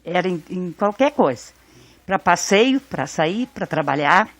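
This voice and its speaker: Southern brazilian woman remembering her brother, calm, dry close sound. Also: fairly low pitch, a little slow, no echo. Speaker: interviewee, sister. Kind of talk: interview recollection.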